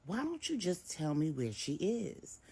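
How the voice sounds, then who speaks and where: A woman's voice: fairly low pitch, big pitch swings, measured pace, darkish tone, breathy edge, pitched above the performer's own voice, heavily acted. A woman voicing a troubled, exasperated grown son in a story, plaintive and protesting. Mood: frustrated. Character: troubled, exasperated adult son searching for his wife.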